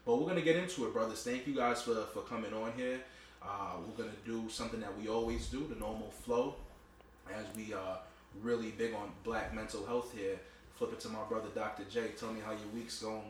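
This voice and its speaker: A man from Queens, hosting a podcast in an animated, enthusiastic conversational way. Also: lowish voice, a little fast, very echoey, far from the mic, slight background noise. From Queens, New York. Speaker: podcast host. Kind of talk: casual podcast chat.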